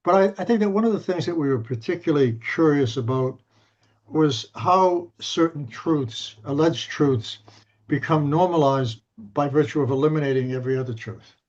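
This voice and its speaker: A man interviewing a guest, thinking aloud in searching, halting sentences with frequent restarts. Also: fairly high pitch, a little mellow. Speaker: interviewer, scholar. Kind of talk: academic interview discussion. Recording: dull lo-fi audio.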